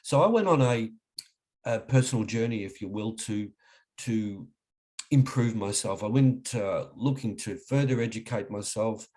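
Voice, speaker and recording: A middle-aged man with an Australian accent, husky from a cold, giving an online webinar lecture in a halting way with many restarts. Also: lowish voice, darkish tone. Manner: halting, frequent restarts and fillers. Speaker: webinar presenter.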